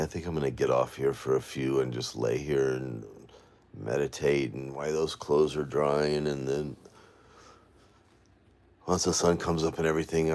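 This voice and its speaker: A man's voice: rumbling low, a little mellow. A weary man, rambling and venting to viewers on a late-night livestream from home. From Florida, USA. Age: 40s. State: exhausted. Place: at home, indoors.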